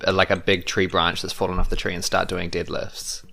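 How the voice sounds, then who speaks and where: A man chatting casually as a player in a tabletop game, with halting, hesitant phrasing. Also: low voice, level tone, creaky, pushed and bright, faint music behind. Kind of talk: casual improvised game chat.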